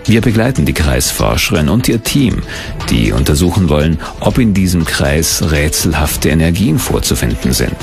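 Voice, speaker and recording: A man's voice: a bass voice, big pitch swings, normal speed, creaky. A man reading scripted documentary narration as the narrator. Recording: music under the narration. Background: background music.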